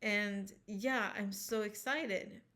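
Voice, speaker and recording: A woman's voice: mid-range voice, steady tone, takes it slowly, a bit bright. Swedish-accented woman, earnest and rambling. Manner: earnest, rambling, with restarts and self-corrections. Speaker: health vlogger. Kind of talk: casual personal talk to camera.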